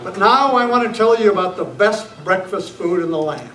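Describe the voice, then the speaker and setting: A man playing an upbeat announcer for an old-time children's radio serial, narrating and pitching the sponsor. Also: animated, normal speed. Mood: upbeat selling. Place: club meeting room, far camera. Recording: echoey, distant camera sound, faint noise.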